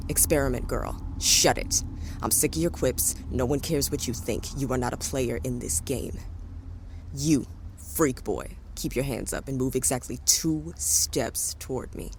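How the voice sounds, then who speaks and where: A woman's voice: very deep voice, a little slow. A woman playing a bitter, threatening space-fleet officer in an audio drama, with a sarcastic, commanding manner. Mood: angry, threatening. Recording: faint background noise.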